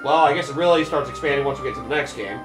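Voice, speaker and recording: A man's voice: mid-range voice, very fast, reverberant, over music. A man co-hosting a let's play, rambling and hesitant with many fillers. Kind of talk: casual commentary chat.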